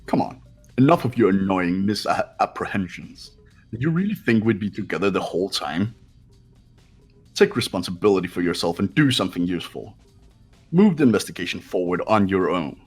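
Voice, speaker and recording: Man voicing a haughty young man, low and husky. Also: expressive, normal speed, soft background music. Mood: dismissive. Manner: condescending, curt, commanding. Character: haughty, cold, impatient rich male student rival. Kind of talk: visual novel character reading.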